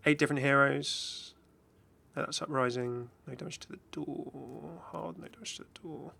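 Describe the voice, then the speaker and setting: A man's voice: a little high, moderate pace, vocal fry, sharp tone, a little hiss or hum. A man talking casually and unscripted as a gaming YouTuber, rambling chattily as he goes. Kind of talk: casual unscripted video commentary and channel update.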